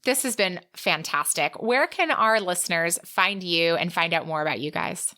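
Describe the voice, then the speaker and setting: Bright-voiced, enthusiastic woman podcast host. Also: on the low side, moderate pace. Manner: enthusiastic, gushing. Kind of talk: casual podcast chat.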